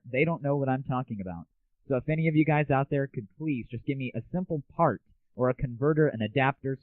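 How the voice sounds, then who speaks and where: Man, show host, sarcastic casual rant, medium pitch, dry close sound, muffled phone-like band. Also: no echo. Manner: sarcastic, irreverent, crude. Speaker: comedy audio show host.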